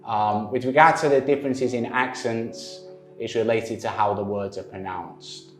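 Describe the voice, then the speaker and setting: Low-voiced Manchester man, roomy echo. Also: steady tone, soft background music. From northern England, Manchester area. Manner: measured, frequent "um" pauses. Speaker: interviewee. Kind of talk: explaining in an interview.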